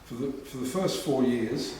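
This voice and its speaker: Measured man explaining tax rules. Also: mid-range voice, a little mellow. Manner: methodical, lays out rules point by point. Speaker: tax adviser. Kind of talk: expert explanation on a panel. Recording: echoey room, distant mic, noisy.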